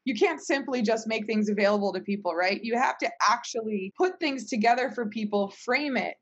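A woman's voice: on the high side, a little fast, muffled recording. A woman speaking as a company founder in a casual panel conversation, talkative and emphatic, often checking her points with "right?".

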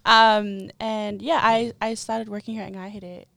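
A woman in her twenties, a podcast guest talking in a chatty, relaxed way with giggles. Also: mid-range voice, unhurried, gravelly, no echo. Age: 20s. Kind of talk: casual chat.

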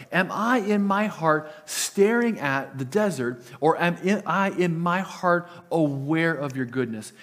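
Earnest pastor, Italian first language. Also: male voice, a little high. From Italian family. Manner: earnest, repeats words when starting sentences. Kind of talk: sermon.

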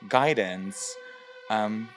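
A young man from Belgium, a drag performer, chatting in an interview with many fillers and lively quoted asides. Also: on the low side, extremely slow, faint music behind. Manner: chatty, lots of fillers, animated quoting. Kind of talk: casual interview.

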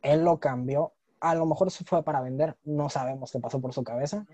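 A man's voice: on the high side, steady tone, fairly quick, mellow, no room sound. A young man with a Mexican accent explaining things in casual conversation, often checking for agreement. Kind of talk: casual chat.

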